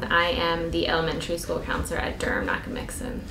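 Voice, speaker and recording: An American woman from Philadelphia gives informal interview answers as a school counselor. Her voice is on the low side, heard in an echoey room with faint noise. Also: lively intonation, quick pace, vocal fry. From Philadelphia, United States. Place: school meeting room, seated.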